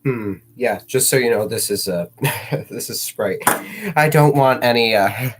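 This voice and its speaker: A man's voice: medium pitch, unhurried, noisy background. A teenage boy chatting on a livestream, rambling and self-mocking with jokey asides. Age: teens.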